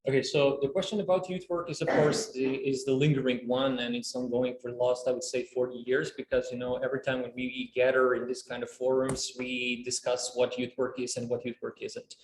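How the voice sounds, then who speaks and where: A man on a conference panel, explaining at a measured pace with frequent fillers and restarts. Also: medium pitch, flat delivery, a little hiss or hum. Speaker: panelist, youth studies researcher. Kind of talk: panel discussion answers. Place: conference room. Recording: echoey room, faint ambience.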